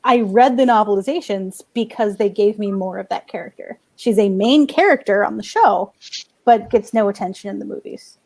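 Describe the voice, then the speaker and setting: A woman talking casually as a fan panelist, halting and then repeating points for emphasis. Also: mid-range voice, vocal fry, a little mellow. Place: remote online panel recorded at home.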